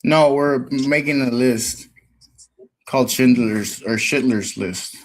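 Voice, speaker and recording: A man hosting a live stream, trolling a caller with crude, sarcastic, deadpan mockery. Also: medium pitch, takes it slowly, low-quality recording. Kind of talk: trolling live call chat.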